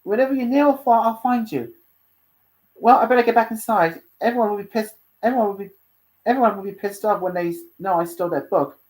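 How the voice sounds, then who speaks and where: A woman's voice: mid-range voice, fast, darkish tone, pitched above the performer's own voice, close to an everyday voice, rough recording. A woman voicing a bold, flirty female hellhound, forward and teasing, in a story reading. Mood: affectionate.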